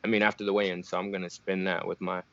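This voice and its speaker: Young guy from virginia, chill fighter chatting on a video call, lowish voice, flat muffled sound. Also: fast. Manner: relaxed, easygoing, laughs often. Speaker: bare-knuckle fighter, guest. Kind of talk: casual remote interview. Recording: muffled dry call audio.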